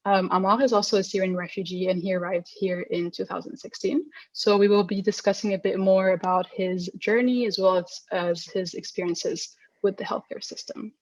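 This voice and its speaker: A woman's voice: mid-range voice, steady tone, normal speed, hardly any pauses, no room sound, poor audio. A young adult woman hosting a podcast interview, earnest in her introductions and casual in her questions. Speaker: podcast host.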